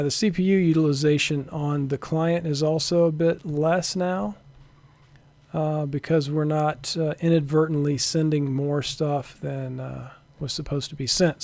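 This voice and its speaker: A man narrating an informal software demo as a developer, thinking aloud hesitantly and sometimes trailing off into a mutter. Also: on the high side, vocal fry, no echo. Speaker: software developer demonstrating a networking tool.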